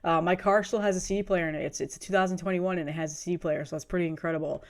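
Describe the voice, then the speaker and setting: A woman's voice: on the low side, steady tone, racing. A woman in her thirties with a cold, chatting casually and enthusiastically as she reviews music, rambling a bit and laughing often. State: has a cold, sniffly. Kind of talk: casual video review chat.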